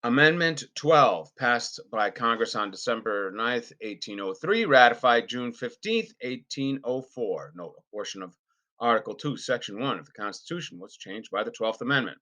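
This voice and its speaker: A man reading a formal legal document aloud in an unrehearsed, deliberate and emphatic way, with the occasional stumble. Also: medium pitch, expressive, pushed and bright. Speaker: reader.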